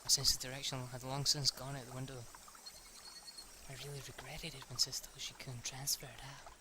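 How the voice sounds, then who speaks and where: A man's voice: mid-range voice, little pitch movement, a little fast, noisy background. A young man reading a visual novel aloud, often stumbling and restarting his lines. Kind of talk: amateur read-through narration.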